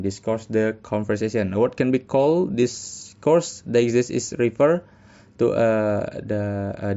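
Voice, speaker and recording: A young man giving a class presentation, halting and full of fillers as he reads from notes. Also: mid-range voice, dark tone. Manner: halting, many fillers and restarts, reading notes. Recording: dull lo-fi recording.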